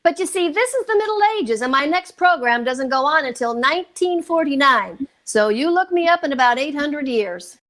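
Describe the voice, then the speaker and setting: A woman's voice: high voice, animated, normal speed, few pauses, fairly bright tone. A woman playing a wisecracking, sardonic comedienne in the style of 1940s radio comedy. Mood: bright, amused.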